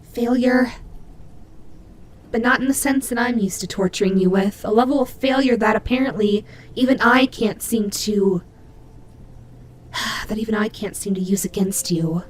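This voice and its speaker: A woman's voice: medium pitch, moderate pace, pauses often, a little hiss or hum, natural voice, low-quality recording. A woman voicing a cute female demon bunny, proud and grudging, who stammers huffily in a scripted audio roleplay. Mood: grudging resignation. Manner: indignant, stammering, huffy.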